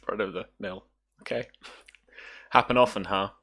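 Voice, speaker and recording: A chatty host runs a tabletop roleplaying stream. The voice sits very low in pitch but has a bright, forceful edge. The delivery is casual and teasing, like talk among friends. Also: unhurried, breathy edge. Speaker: host and game master. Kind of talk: casual livestream hosting.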